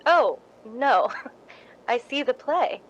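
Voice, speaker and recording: A woman's voice: sits high, lively intonation, drawn-out words, exaggerated voice, rough recording. A woman playing a timid, humble, starstruck young woman in a 1950s radio drama. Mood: shy, deferential.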